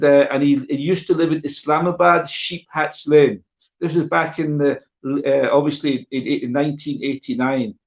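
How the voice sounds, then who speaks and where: Middle aged guy, voice a bit high, chatty talk with slides, repeats himself to make the point, muffled stream sound. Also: creaky. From born in Guyana. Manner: conversational, repeats phrases for emphasis, checks in with listeners. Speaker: guest speaker sharing memories of his father. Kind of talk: informal illustrated talk to an online audience. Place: live online video call. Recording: dull, muffled online stream audio.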